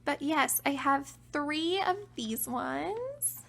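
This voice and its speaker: A young woman casually talking through her collection, chatty and self-deprecating, her voice getting raspy from talking a while. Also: high voice, lively intonation, extremely slow, fairly bright tone. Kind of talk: casual show-and-tell narration.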